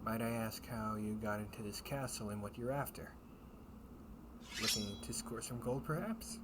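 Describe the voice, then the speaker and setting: A man's voice: lowish voice, normal speed, close to an everyday voice. A man playing a kindly, teasing knight captain in a comfort audio roleplay, speaking in courtly, old-fashioned phrases. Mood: guarded, calm threat. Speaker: amateur audio roleplay performer. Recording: clearly noisy home recording with ambience.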